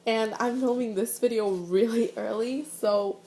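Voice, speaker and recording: A woman's voice: a little high, fairly even intonation, unhurried, mellow. Chatty young woman with a stuffy nose. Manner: chatty, rambling with fillers and restarts. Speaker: vlogger. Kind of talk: casual chat, reaction review. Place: living room at home, indoors.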